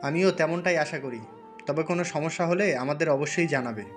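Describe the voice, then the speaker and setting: A man playing a concerned, questioning senior navy officer at headquarters in an audio drama, with a brisk official manner. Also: a little high, close to an everyday voice. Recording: music bed under the voice.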